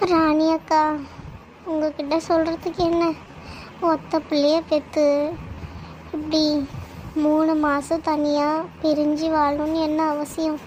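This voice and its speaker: A woman's voice: high-pitched, a little mellow, a little hiss or hum, poor audio. A woman playing a village aunt who coaxes and chides a crying mother, pleading with her to stop. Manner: coaxing, chiding, pleading.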